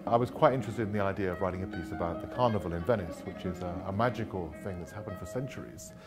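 A middle-aged composer with a very low voice explains his ideas articulately indoors. Music is clearly heard behind him. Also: quick pace. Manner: articulate and descriptive. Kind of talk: interview. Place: room, indoors.

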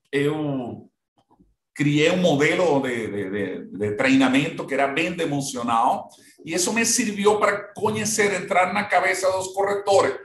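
A man in his forties from Venezuela with a Venezuelan Spanish accent, a real estate trainer speaking haltingly and repeating words, on a laptop mic during a video call. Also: medium pitch, fairly bright tone, echoey room. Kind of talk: online training session.

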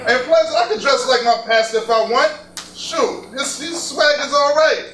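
A cocky young man played by a stage actor brags in playful slang with a very high voice. He is heard from a distance in a roomy hall with audience noise in this comic stage play. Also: normal speed, a crowd in the background. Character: cocky, joking young man in church circle.

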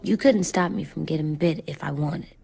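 A woman's voice: sits low, normal speed, creaky, warm tone, slight background noise. A woman voicing an earnest, stubborn little boy in an apocalypse video game, with a childlike, plain-spoken, solemn delivery. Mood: insistent. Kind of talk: naturalistic video game acting.

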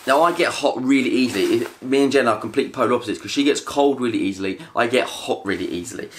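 A man's voice: medium pitch, fast talker. A British man in his thirties, chatting casually about gear while seated on a living room sofa. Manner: chatty, upbeat, laughs between items. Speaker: family vlogger. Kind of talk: casual product haul chat. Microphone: camera on a tripod in front.

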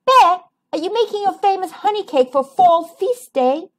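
A woman voicing a kind, excitable, generous female porcupine in an eager sing-song for a children's storybook. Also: very high voice, moderate pace, voice pushed up. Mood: eager, friendly.

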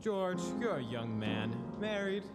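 A man acting a scheming old tycoon in a radio play, with a deliberate, condescending manner. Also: high voice, very animated, loud music behind the voice, heavily acted. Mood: smooth condescension. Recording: echoey hall.

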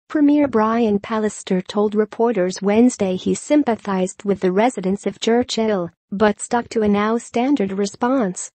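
A woman's voice: mid-range voice, dark tone, no room sound. A woman reading a scripted news article in an impersonal, neutral way, with a synthetic text-to-speech sound.

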